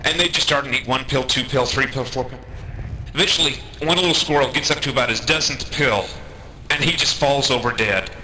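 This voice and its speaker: A man telling a comedic anecdote in an animated way, acting out the quotes as he goes. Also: mid-range voice, brisk. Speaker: storyteller, online gamer. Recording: noisy amateur game-session recording.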